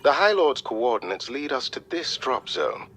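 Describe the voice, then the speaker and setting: An actor playing a calm, precise male mission advisor in a video game, speaking in a measured, formal way. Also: mid-range voice, husky. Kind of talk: scripted video game acting.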